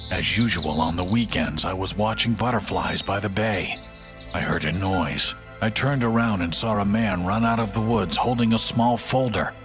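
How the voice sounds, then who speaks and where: A man acts out a vengeful ghost of a murdered scientist for a mystery game. The voice is low and grave, recounting the past with solemn weight. Loud music plays beneath it. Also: natural voice. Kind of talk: dramatic scripted monologue in a mystery game.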